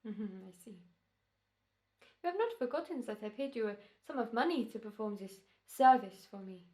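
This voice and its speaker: A woman's voice: a little high, lively intonation, darkish tone, natural voice. A woman playing a courteous, sinister, knowingly amused doctor in an old-time radio drama. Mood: cool, polite. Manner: polite, measured, knowingly amused. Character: suave, sinister, courteous doctor scheming over murder.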